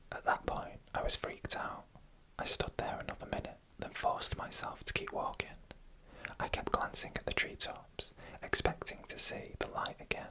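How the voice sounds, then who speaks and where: Breathy whispered ASMR horror story narrator. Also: poor audio. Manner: hushed, deliberate, phrase by phrase. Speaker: narrator reading online stories.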